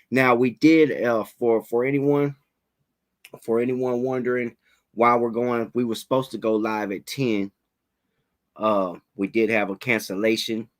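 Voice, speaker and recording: A man hosting a casual livestream interview, talking in relaxed slang, a little raspy from a head cold. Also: medium pitch. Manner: laid-back slangy hype, fillers like "you know what I'm saying".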